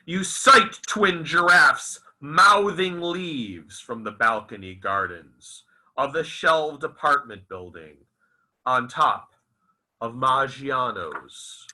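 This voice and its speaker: A man reciting his own literary fiction as its author, in a theatrical, deliberate and emphatic manner. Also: medium pitch, pushed and bright, poor audio. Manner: dramatic, deliberate, emphatic with repeated phrases. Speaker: author reading own novel aloud.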